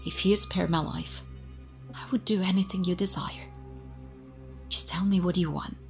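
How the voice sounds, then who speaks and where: A woman's voice: on the low side, fairly even intonation, moderate pace, creaky, soft background music. An actress playing a frightened woman scientist who pleads desperately for her life in an audio drama. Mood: pleading.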